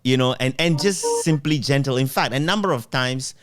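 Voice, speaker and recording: A middle-aged man conducting a reflective, conversational interview in a sentimental, halting and thoughtful way. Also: medium pitch, dry recording. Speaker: interviewer, journalist.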